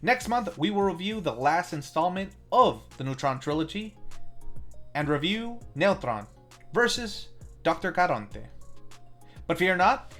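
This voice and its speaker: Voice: medium pitch, very animated, measured pace, a bit bright. A film review host narrating a scripted plot recap with tongue-in-cheek asides. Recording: music under the voice.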